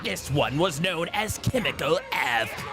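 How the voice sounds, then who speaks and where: Male performer putting on an alien parasite video game villain: taunting, theatrical, highish, drawn-out delivery, loud game music behind. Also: big pitch swings. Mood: mocking, gloating. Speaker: player voicing a fighting game character. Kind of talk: in-character live game commentary and trash talk.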